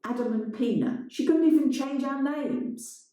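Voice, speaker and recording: A woman's voice: sits high, a little slow, dark tone, voice pushed up. A woman voicing an angry, sneering man in a dramatised short story, indignant and accusing. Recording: strongly echoing, roomy sound.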